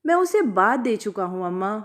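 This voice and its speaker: A woman's voice: medium pitch, big pitch swings, moderate pace, natural voice. Woman playing earnest, pleading young village man. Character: earnest, lovestruck young village man, meek but defiant.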